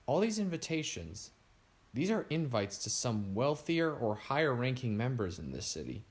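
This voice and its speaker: A man's voice: medium pitch, sing-song, moderate pace, voice pushed up, close to an everyday voice. A man reading aloud, voicing a dry, matter-of-fact young woman on a mystery team.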